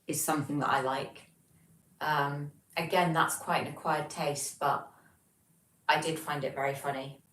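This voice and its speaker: A young British woman hosting a livestream from her flat, reading out chat messages and rambling casually with lots of ums. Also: low-pitched. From Britain. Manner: chatty, rambling, many ums. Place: home, flat. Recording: echoey room, distant mic, faint noise.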